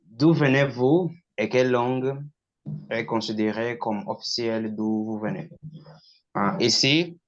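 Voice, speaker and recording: A man from Angola whose first language is Portuguese, speaking French in a halting way with frequent laughs in a casual practice chat. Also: medium pitch, dull audio. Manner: slow, halting, laughs often. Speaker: participant.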